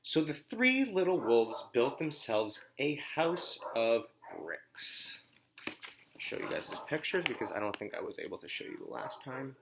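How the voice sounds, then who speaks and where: A man's voice: medium pitch, big pitch swings, background noise. Man reading a children's story aloud. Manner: slow, deliberate phrasing, explaining simply to children. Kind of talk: read-aloud storytelling with comprehension questions.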